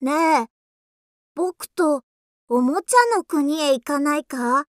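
A woman's voice: high-pitched, expressive, takes it slowly, dry recording, studio quality. A woman voicing a lazy, cocky schoolboy who talks in a boastful, coaxing way. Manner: boastful, coaxing boyish talk.

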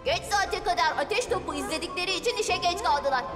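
Very high, earnest childlike cartoon vehicle. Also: female voice, fast talker. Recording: echoey hall reverb, loud music behind.

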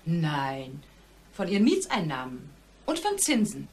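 A woman's voice: low-pitched, wildly swooping pitch, unhurried, darkish tone, breathy edge, exaggerated voice. An actress playing a composed married woman giving plain, informative testimony in a German crime radio drama. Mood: factual, reserved.

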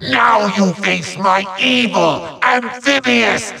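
An actor playing a pompous, short-tempered evil sorcerer villain in a video game, with a gravelly, nasal voice and bombastic, sputtering theatrical delivery. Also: male voice, high voice, slow, pushed and bright, far from the mic, heavily acted. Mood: grandiose, menacing.

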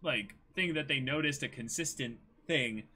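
A young man hosting a livestream, chatting casually and reading aloud in an animated way. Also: mid-range voice, moderate pace, slight background noise. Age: young adult. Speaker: stream host. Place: small indoor room with backdrop.

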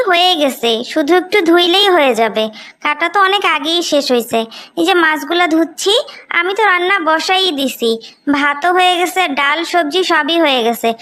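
A woman's voice: extremely high pitch, expressive, moderate pace, fairly bright tone. A woman with a Bangladeshi accent voicing a meek, wistful young sister in a cartoon story. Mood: meek, pleading. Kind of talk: animated moral story dialogue.

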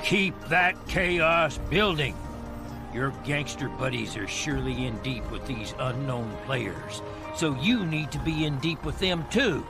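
An actor playing a folksy, breezy veteran agency handler in a video game, colloquial and blustery. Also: male voice, mid-range voice, lively intonation, a little slow, loud music behind the voice. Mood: breezy, scheming. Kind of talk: video game cutscene acting.

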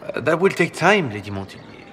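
A man playing a courteous assassin posing as a nobleman in a video game, with a French accent and polite, measured, formal speech. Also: fairly high pitch, big pitch swings, fairly bright tone. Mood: smooth, matter-of-fact. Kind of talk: video game drama acting.

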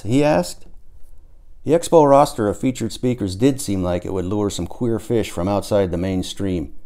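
A man's voice: lowish voice, warm tone. A man reading his own novel aloud as audiobook narration.

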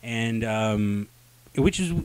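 A man's voice: lowish voice, expressive, very slow, smooth, no echo. A young man co-hosting a casual comic book review, speaking in an informal, slangy way into a handheld microphone. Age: young adult. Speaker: podcast host. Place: small indoor room.

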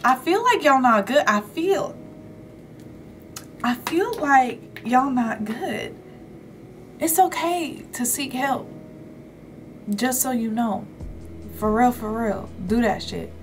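Young girl vlogging, kinda high voice, swears a lot, says like constantly, faint hiss. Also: animated, takes it slowly, long pauses. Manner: chatty, swearing, lots of "like". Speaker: reaction video host. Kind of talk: casual reaction vlog chat. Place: small room in front of green screen.

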